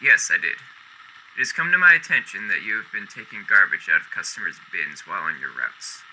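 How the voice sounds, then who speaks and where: An actor playing a stern, officious boss in a radio drama, formal and clipped. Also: male voice, fairly low pitch, sing-song, brisk, pushed and bright, a little hiss or hum, heavily acted, low-quality recording. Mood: stern, accusing. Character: stern, officious company boss, son of the founder.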